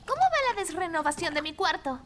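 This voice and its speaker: Kindly little girl, children's animation, very high pitch, animated swoops, bubbly. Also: brisk, heavily acted. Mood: sweet, helpful. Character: sweet, considerate little girl who adores puppies. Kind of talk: animated children's series dub. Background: background music.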